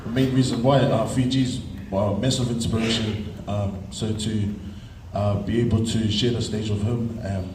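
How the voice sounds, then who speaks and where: A man's voice: lowish voice, steady tone, normal speed, few pauses, noisy background. A man, a singer, answering a press question in a hesitant way with many fillers. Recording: echoey room, distant mic.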